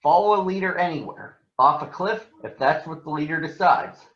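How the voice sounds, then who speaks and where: A folksy old-school farmer bear from a children's storybook, acted by a man reading aloud. He gives wise advice emphatically, with a lively, swooping pitch. The sound is dull and roomy. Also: normal speed. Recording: dull, echoey room.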